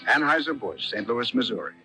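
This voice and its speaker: A man's voice: mid-range voice, very animated, brisk, sharp tone, slightly rough, no echo, poor audio. An American man doing a radio beer commercial voice-over as an announcer. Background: music.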